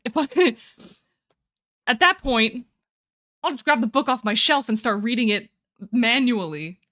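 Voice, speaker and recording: A woman's voice: on the high side, animated, measured pace, bright, forceful tone. Giggly, rambling young woman streamer. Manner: reads and answers chat. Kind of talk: casual live-stream chat.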